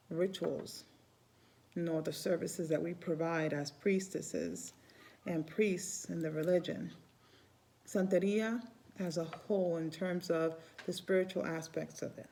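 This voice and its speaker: A middle-aged woman from Cuba speaks in a casual, meandering way, circling back and restarting her phrases. Her voice sits a little low and sounds mellow and relaxed. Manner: warm, rambling, restarts phrases often. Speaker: Santeria priestess. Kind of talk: casual spiritual vlog talk.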